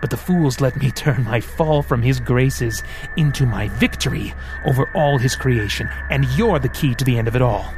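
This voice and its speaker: A man voicing a smooth, sarcastic male devil who teases and threatens by turns in an audiobook. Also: mid-range voice, moderate pace, music in the background, natural voice. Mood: persuasive. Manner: teasing, sarcastic, theatrical. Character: sly mocking male devil, smooth, menacing.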